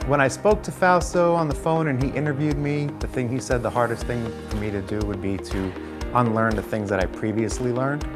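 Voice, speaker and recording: A man's voice: mid-range voice, fairly quick. A man from Pennsylvania, a student giving an earnest testimonial. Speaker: student, network engineer. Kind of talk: interview testimonial. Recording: music bed underneath.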